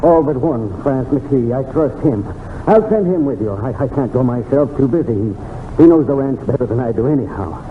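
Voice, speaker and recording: Tinny old radio, man acting a nervous crooked rancher, stammery and evasive, pitch jumps around, echoey. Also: lively intonation, moderate pace, a little hiss or hum, natural voice. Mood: flustered, shifty. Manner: stammering, flustered.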